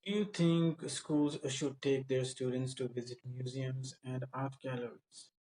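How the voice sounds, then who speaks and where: A man's voice: mid-range voice, fairly even intonation, unhurried, warm tone, low-quality recording. A man working as an English speaking tutor, asking practice questions and coaching in an informal, instructive way. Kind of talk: conversational coaching and mock interview questions.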